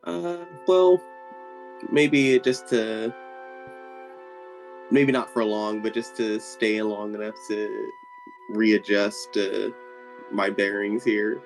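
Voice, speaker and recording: A man playing a wary, mild-mannered adventurer in casual tabletop roleplay, talking in a hesitant, halting way. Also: fairly low pitch, fairly even intonation, creaky, faint music behind.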